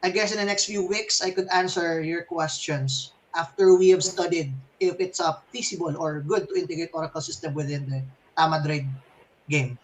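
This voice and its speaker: A man's voice: fairly high pitch, expressive, normal speed. Hesitant man developer, faint background noise. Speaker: game developer answering questions. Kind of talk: casual live Q&A. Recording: faintly noisy livestream audio.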